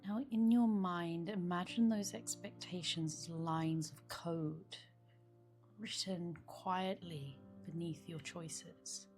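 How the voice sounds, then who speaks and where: A woman guiding a meditation in a soft, soothing manner, with phrases often trailing into breathiness. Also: lowish voice, fairly even intonation, takes it slowly. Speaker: meditation guide. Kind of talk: guided meditation. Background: background meditation music.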